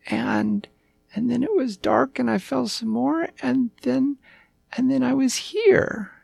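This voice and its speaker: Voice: high voice, sing-song, slow pace, creaky, a little mellow, husky, voice pushed up, exaggerated voice. An adult storyteller voicing a small, earnest lost puppy who rambles like an adventurous young child. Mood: earnest, childlike.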